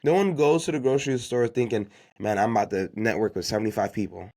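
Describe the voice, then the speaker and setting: Low-pitched man, native Haitian Creole speaker. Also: fast talker, dark tone. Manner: emphatic, repeats key phrases, asks rhetorical questions. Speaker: podcast host giving networking advice. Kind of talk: unscripted motivational business talk.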